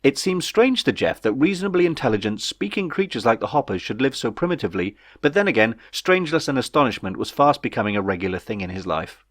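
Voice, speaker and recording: A man's voice: medium pitch, sing-song, fast talker, hardly any pauses, no echo, studio quality. A man reading his own comic novel aloud as scripted audiobook narration.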